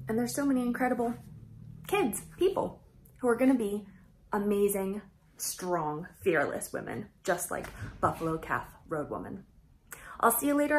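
A woman in her thirties reading a storybook aloud to children, expressive and emphatic, addressing them directly. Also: medium pitch, slight background noise, rough recording. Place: indoors, small room, close to camera.

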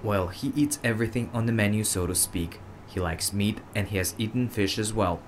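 Low-voiced man explaining in an interview. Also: moderate pace, slight background noise. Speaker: chef being interviewed.

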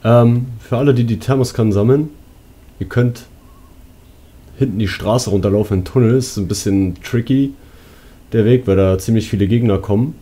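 Low, dark, husky male game commentator. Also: normal speed. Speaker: let's play host.

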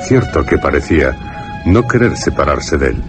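Male storyteller, extremely deep voice, scripted radio drama narration, clear music underneath. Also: big pitch swings, moderate pace. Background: music behind the voice.

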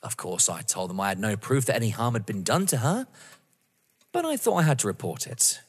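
A man's voice: lowish voice, big pitch swings, brisk, husky. An actor playing a mocking, vengeful young man in an audio drama, theatrical and savouring each word. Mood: coolly confiding. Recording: reverberant hall-like room.

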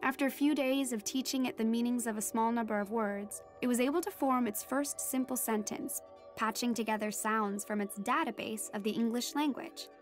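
A woman's voice: a little high, moderate pace, faint music behind, lightly acted. A young woman playing an earnest teenage daughter, narrating a first-person audio drama in a reflective way. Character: teenage daughter of a marine biologist, earnest storyteller. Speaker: narrator and lead character.

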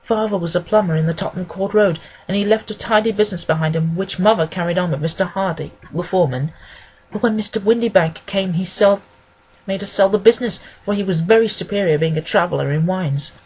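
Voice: medium pitch, fast talker, darkish tone, breathy edge, low-quality recording. A reader as a nervous, rambling young woman. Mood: chatty, earnest. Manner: rambling, earnest, deferential. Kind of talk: acted audiobook dialogue.

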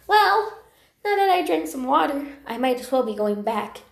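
A woman's voice: high-pitched, very animated, moderate pace, gravelly, heavily acted. A woman voicing a shy, eager, childlike young kitten in an amateur animal drama, hesitant and stammering. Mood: wondering. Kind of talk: amateur character voice acting. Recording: roomy, echoing home recording.

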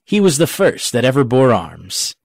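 Cocky country gravedigger voiced by a man, Shakespeare audio drama, mid-pitched, mock-learned and jokey, very dry sound. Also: sing-song, normal speed, gravelly, dry recording. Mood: teasing, cocky. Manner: pedantic, jokey mock-logical argument. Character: cocky, quibbling rustic gravedigger clown, a grown man.

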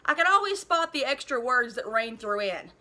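A woman's voice: a little high, very animated, normal speed, pushed and bright. A woman in her thirties reading a children's novel aloud expressively, like a teacher. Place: living room at home.

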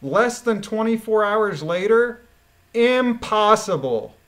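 Male amateur commentator, slightly higher pitch, reads documents aloud with informal, emphatic asides. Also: animated, slow pace, a bit bright. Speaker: commentator, former police officer. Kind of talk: reading a court transcript aloud with informal commentary.

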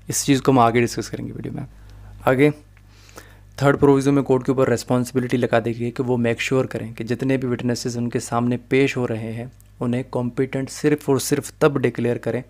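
Brief: male online law tutor, monotone delivery, plain explanatory lecture. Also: medium pitch. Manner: mixes English into explanations, steady explaining tone. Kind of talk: lecture over animated slides.

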